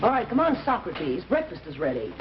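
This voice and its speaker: An actress with an American accent playing a detective's devoted, teasing wife in old-time radio drama style, wry and coaxing. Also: female voice, lowish voice, wildly swooping pitch, brisk, loud music behind the voice. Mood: playful, nagging. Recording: dull, noisy old radio recording.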